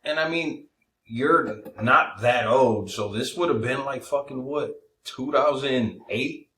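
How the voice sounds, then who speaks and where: A man's voice: medium pitch, slow, a bit bright. A man trading casual banter as a comedy podcast co-host, with dry, teasing wisecracks. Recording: roomy, echoey podcast sound.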